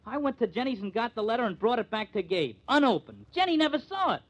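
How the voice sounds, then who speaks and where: A man's voice: extremely high pitch, big pitch swings, fast, a bit bright, highs cut off, full character voice. An actor playing an indignant, streetwise young American man with slangy, blurting speech in an old-time radio crime drama. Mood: earnest, pleading.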